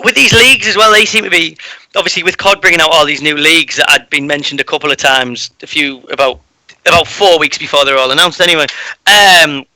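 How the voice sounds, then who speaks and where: A man's voice: on the high side, pushed and bright, dry recording, low-quality recording. A man rambling and swearing freely while hosting a casual gaming podcast. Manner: rambling, sweary, restarts a lot. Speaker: podcast host. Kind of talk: casual esports podcast chat.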